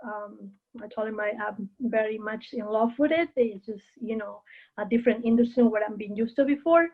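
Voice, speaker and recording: Woman on a tinny line. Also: fairly high pitch. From Peru. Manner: earnest and steady, with frequent "uh" pauses. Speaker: guest, hotel housekeeping manager. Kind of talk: interview, telling her career story.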